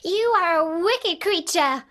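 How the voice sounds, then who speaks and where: A woman voicing an earnest, indignant little girl in a storybook reading, with a high, emphatic childlike delivery. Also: expressive, takes it slowly, a bit bright, heavily acted.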